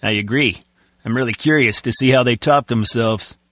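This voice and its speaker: A man's voice: mid-range voice, moderate pace, fairly bright tone, dry recording, studio quality, close to an everyday voice. A man playing a gossipy, easygoing henchman in a video game, chatty and colloquial.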